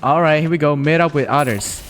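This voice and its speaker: Ein Mann kommentiert locker und verspielt seine Live-Spielsitzung, mit Spielmusik dahinter. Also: male voice, on the high side, brisk, slight background noise. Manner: relaxed, playful reactions. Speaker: streamer. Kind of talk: casual live game commentary. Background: game music.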